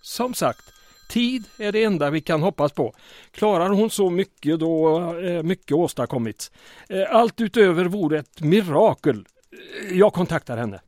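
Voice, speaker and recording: An actor playing a small-town mayor under occupation in an audio drama, earnest but wry and weary. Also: male voice, high-pitched, lively intonation, darkish tone, no echo.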